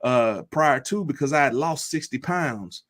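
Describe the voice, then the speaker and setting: A man speaking as an interview guest, telling his story in an earnest, deliberate and reflective way. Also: medium pitch, lively intonation, a bit bright. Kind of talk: personal testimony in an interview.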